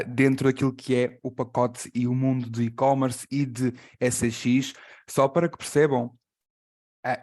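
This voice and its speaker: A man from Portugal with a Portuguese accent hosts a live online class. He is a native Portuguese speaker with a mid-pitched voice, speaking as someone presenting a guest to a group. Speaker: host and translator of an online class. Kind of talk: introducing a guest on a live call.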